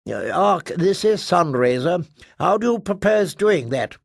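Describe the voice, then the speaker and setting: A man's voice: high voice, big pitch swings, unhurried, gravelly, no room sound, studio quality, voice pushed up, full character voice. A man voicing a brash young woman commander. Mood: threatening. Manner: urgent, threatening commands. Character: young female starship commander, brash and alarmed.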